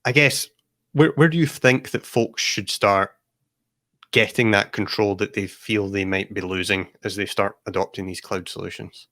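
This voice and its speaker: A man's voice: lowish voice, fairly even intonation, normal speed, fairly bright tone, no room sound, studio quality. A man hosting a casual interview, thoughtfully working his way towards a question with a long lead-in. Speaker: podcast host.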